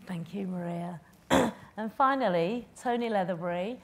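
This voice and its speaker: Distant, formal woman moderator. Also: mid-range voice. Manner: measured, formal. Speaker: panel moderator. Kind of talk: panel moderation. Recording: distant from the mic.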